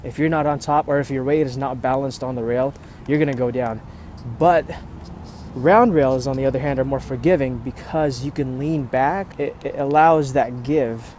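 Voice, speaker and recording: A man's voice: mid-range voice, fairly even intonation, normal speed, darkish tone. Casual skater guy, noisy outdoor recording. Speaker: skateboarding vlogger. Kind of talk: casual rambling vlog chat. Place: outdoors at an urban skate spot. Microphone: earphone microphone with a phone. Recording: noisy outdoor phone and earpiece audio.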